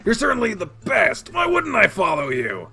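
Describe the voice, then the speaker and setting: A man playing a childishly excitable middle-aged dad who gushes and stammers as a fan. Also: high-pitched, moderate pace, creaky, slightly rough, soft background music, pitched above the performer's own voice, exaggerated voice. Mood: awed, gushing. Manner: breathless gushing, stammering with excitement.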